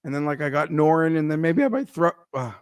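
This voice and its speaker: A hoarse man co-hosting a casual golf betting chat, rambling and leaning on filler words. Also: on the high side, animated, quick pace, dry recording, studio quality. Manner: rambling, leans on "like" and restarts. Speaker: golf betting analyst co-host.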